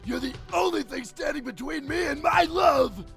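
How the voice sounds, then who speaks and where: A man voicing a hot-headed, lovestruck young male brawler in an anime parody, blustering and earnest with a gravelly, strained voice. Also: extremely high pitch, moderate pace, shouting, music in the background, exaggerated voice. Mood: furious, shouting.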